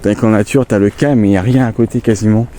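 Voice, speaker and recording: A man with a blocked, stuffy nose talks in a chatty, rambling way, recorded outdoors on a handheld phone. His voice sits low and mellow. Faint wind and outdoor noise run behind him. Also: quick pace. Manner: chatty, relaxed, rambling asides. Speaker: vlogger on a nature walk. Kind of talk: casual walking vlog to camera. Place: outdoors in the countryside.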